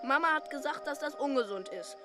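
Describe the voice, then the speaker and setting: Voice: sits high, lively intonation, fairly quick, music in the background. A performer playing an earnest, stubborn little boy in a radio drama, childlike and insistent. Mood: defiant.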